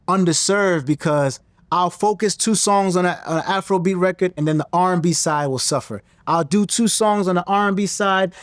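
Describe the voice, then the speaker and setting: A man's voice: sits high, dry recording. Confident man, dry close sound. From Nigerian-American. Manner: animated, confident, with frequent restarts. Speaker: interview guest, singer and actor. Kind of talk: casual interview talk.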